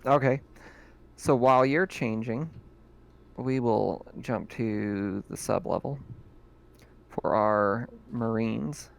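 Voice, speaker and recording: A man acting as game master, narrating in a relaxed, chatty way and often laughing. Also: medium pitch, slow, gravelly, long pauses. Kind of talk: casual tabletop game narration.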